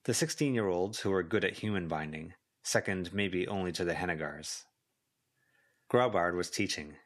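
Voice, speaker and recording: A man's voice: deep voice, normal speed. A man reading scripted fiction as a volunteer audiobook narrator.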